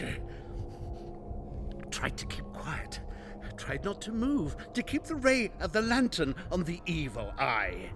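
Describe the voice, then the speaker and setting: An actor playing a mad, obsessive, boastful murderer who narrates a radio play in a halting, theatrical way, moving between whispers and shouts. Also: male voice, fairly high pitch, vocal fry, a little hiss or hum, full character voice. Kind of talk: dramatic radio play monologue. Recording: live-recorded radio play.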